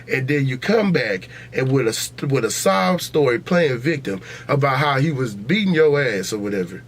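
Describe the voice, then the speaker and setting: A man's voice: mid-range voice, a little hiss or hum. An American man hosting a podcast in a casual, opinionated debate, blunt and profane, repeating himself for emphasis. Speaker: podcast host.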